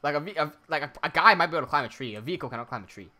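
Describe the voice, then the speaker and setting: A man's voice: fairly high pitch, animated, racing, sharp tone. A young man from the Philippines with an Americanized Filipino accent, chatting casually and rambling while building on a livestream. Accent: American-sounding Filipino. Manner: laid-back, rambling, swears, laughs often. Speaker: streamer and builder.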